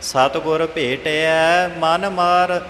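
A man chanting scripture in a slow, measured, steady recitation as a student. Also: a little high, big-room sound, slight background noise.

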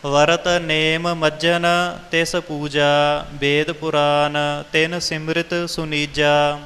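A man reciting Sikh scripture in a measured, melodic, sing-song chant. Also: fairly high pitch, flat delivery, fairly bright tone. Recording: long hall reverb, music behind.

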